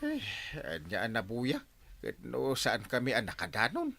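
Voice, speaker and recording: A man's voice: mid-range voice, huge pitch swings, moderate pace, vocal fry, pushed and bright, soft background music, exaggerated voice. A man playing a jovial Ilocano village captain. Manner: laughs often, easygoing. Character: jovial middle-aged male village captain. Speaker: barangay captain. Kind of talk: radio drama acting.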